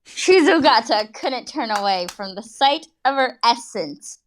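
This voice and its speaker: A woman's voice: on the high side, lively intonation, unhurried, a little hiss or hum. A woman giving a mocking, playful dramatic reading with giggly, exaggerated delivery and casual asides. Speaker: reader in a group dramatic reading.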